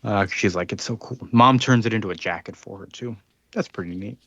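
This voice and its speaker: A man's voice: lowish voice, fairly quick, fairly bright tone, no echo, dull audio. A man casually recapping a comic book as a podcast co-host, rambling with ums and restarts and acting out quotes.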